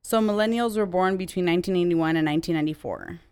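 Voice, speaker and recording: A woman's voice: on the low side, fast talker, a crowd in the background. A woman in her late twenties chatting casually on a podcast, fills her talk with "like" and laughs easily. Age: 20s. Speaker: podcast co-host.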